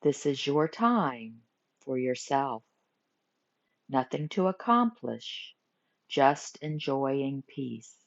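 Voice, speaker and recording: A woman teaching guided meditation, giving gentle instructions in short phrases with pauses between them. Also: extremely low pitch, big pitch swings, gravelly. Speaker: yoga nidra meditation teacher. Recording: muffled lo-fi recording.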